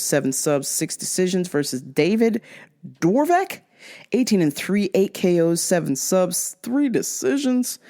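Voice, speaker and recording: A woman hosting a casual podcast, giving fight picks in a crude, joking, animated way. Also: lowish voice. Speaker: podcast host.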